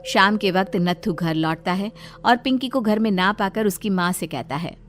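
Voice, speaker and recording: A woman's voice: medium pitch, moderate pace, a little hiss or hum. A woman narrating a scripted children's story as storyteller.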